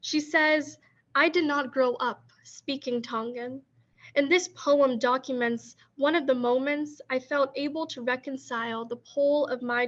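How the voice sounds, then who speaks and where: A woman co-curator hosting a poetry gathering on a video call, reading a poem in a measured way and then talking casually with a few ums. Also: high-pitched, a bit bright, muffled recording. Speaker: co-curator and discussion host. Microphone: video call computer mic.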